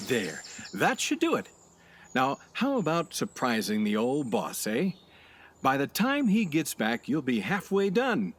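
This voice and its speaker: A man's voice: medium pitch, a bit bright. A breezy, self-assured man, played by an actor who sounds sure of everything, his voice swinging widely up and down. He talks like a salesman in a small-town radio play, with faint noise in the background. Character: brash overconfident male drifter, smooth talker. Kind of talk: community theatre radio play.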